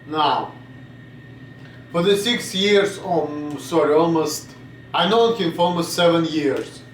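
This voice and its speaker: A man's voice: fairly high pitch, takes it slowly, echoey room, distant mic, a little hiss or hum, rough recording. A man in his late twenties from Russia, with a Russian accent, rambling bluntly in a casual vlog and swearing now and then. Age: 20s. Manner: blunt, swearing, drifts off topic. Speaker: vlogger.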